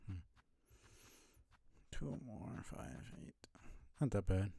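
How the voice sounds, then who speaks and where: A man giving casual live gameplay commentary as a streamer, muttering and swearing in a low-key way. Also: expressive, very slow, gravelly, dark tone, no room sound, clean studio sound. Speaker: game streamer.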